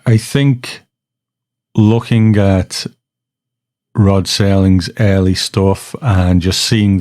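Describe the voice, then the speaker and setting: A man's voice: sits low, a little mellow, no echo, clean studio sound. A man hosting a podcast in a casual, conversational way, reflective and given to asides as he introduces listeners. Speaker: podcast host.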